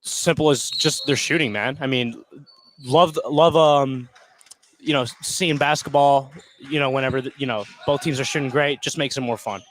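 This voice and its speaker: A man's voice: mid-range voice, steady tone, moderate pace, fairly bright tone, slight background noise, low-quality recording. An American man giving informal, conversational color commentary on a live basketball game. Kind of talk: casual live sports commentary. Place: indoor high school gymnasium.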